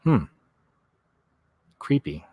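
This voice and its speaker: A man's voice: drawn-out words, warm tone, dry recording. Casual folksy man commenting, dry close sound. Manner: folksy, rambling, repeats himself. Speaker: host of a news commentary vlog. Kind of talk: casual chatty commentary on clips and read-out news.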